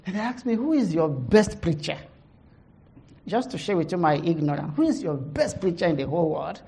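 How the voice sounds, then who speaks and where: A middle-aged woman pastor with a heavy Nigerian accent gives a conference testimony, halting, with many fillers, laughing at herself. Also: fairly low pitch, lively intonation, dark tone.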